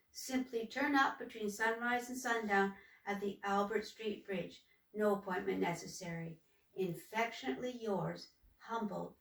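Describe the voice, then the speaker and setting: A woman reading aloud gives voice to a friendly young male troll, earnest and a touch pompous. The voice sits fairly high and carries well. It sounds distant, with a roomy echo around it. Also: a bit bright. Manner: earnest and polite, a little pompous. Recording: echoey room, distant mic.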